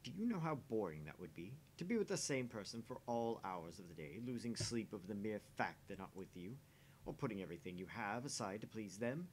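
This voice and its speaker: A man's voice: big pitch swings, brisk, low-quality recording. A man voicing a smug, jaded husband in a visual novel, mocking and condescending as he teases. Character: smug, sneering, jaded married man teasing his dinner date. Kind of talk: voice-acting visual novel dialogue. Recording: faint background rumble and noise.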